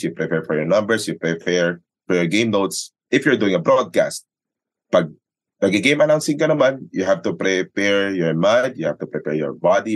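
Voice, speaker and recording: A man with a Filipino accent chatting casually and explaining things emphatically, as a sports announcer being interviewed. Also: low-pitched. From Philippines. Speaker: sports announcer and radio commentator, interview guest. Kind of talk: casual podcast chat, code-switching.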